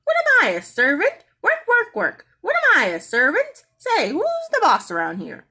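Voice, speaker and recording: A woman voicing a rude female pet parrot that squawks and yells repeated sassy phrases in a storybook reading. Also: huge pitch swings, normal speed, full character voice. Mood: indignant. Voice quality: squawky, shrill.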